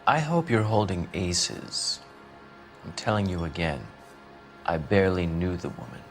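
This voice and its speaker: An actor playing a haughty, evasive starving writer in a 1940s crime game, condescending and guarded. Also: male voice, low-pitched, very animated, measured pace, faint music behind, heavily acted. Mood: defensive, scornful.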